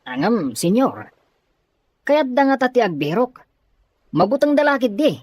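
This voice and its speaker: A woman's voice: a little high, sing-song, normal speed, a little mellow, dry recording. Woman acting a swooping, flustered, bumbling servant. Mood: deferential, nervous. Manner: hesitant, stammering, deferential. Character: timid bumbling servant, anxious to please the boss.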